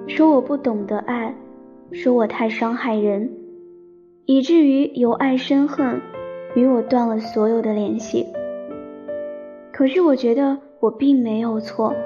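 A woman playing a young, romantic, dreamy student in a radio drama, telling her love story in a soft, sentimental, unhurried way. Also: on the high side, close to an everyday voice. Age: young adult. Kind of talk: radio drama narration and dialogue. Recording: dull lo-fi recording with piano music. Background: piano music.

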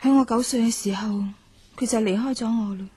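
Breathy, high-voiced lonely young woman. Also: fairly quick, dark tone. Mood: subdued. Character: lonely confined young writer, rich man's neglected daughter. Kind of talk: radio drama acting. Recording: music under the voice.

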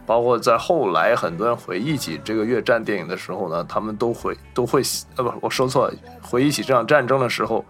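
A man's voice: fairly low pitch, fast talker, few pauses, fairly bright tone, faint music behind. A man co-hosting a casual discussion of film and history, explaining things and chiming in with agreement. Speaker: podcast host.

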